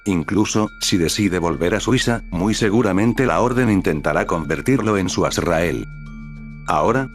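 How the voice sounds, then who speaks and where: A man narrating a scripted horror recap over dark music. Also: sits low. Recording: background music under the narration.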